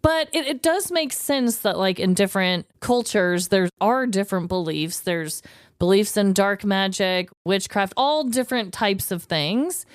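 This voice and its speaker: A woman co-hosting a podcast in casual chat, expressive and reactive as she talks things over. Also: medium pitch, unhurried, clean tone, dry recording, clean studio sound. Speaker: podcast co-host.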